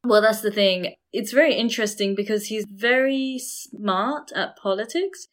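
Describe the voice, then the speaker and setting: Woman, casual discussion, explanatory, opinionated, medium-pitched voice. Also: measured pace. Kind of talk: casual discussion of books and current affairs.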